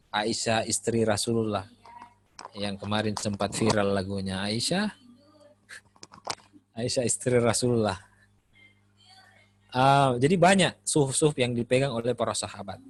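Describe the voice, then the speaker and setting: A man lecturing on Islamic studies at a mid-range pitch, easygoing and chatty, with frequent "eh" pauses as he works through his points. The sound is dry, with almost no room echo. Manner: relaxed, conversational, frequent "eh" pauses. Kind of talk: classroom lecture.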